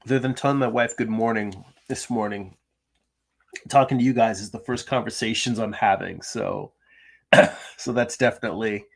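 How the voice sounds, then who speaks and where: Gravelly-voiced chatty middle-aged man. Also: lowish voice, steady tone, normal speed. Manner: chatty, self-deprecating, frequent restarts and ums. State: gravelly from lack of sleep. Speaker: artist hosting a livestream. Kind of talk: casual livestream chat reading viewer comments. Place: home room.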